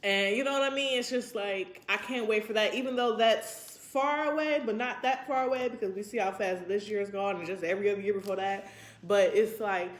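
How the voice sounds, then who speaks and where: Woman in her 20s vlogging, rambly casual chat, mid pitch, echoey room sound. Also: fairly quick. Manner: rambling, reflective, informal.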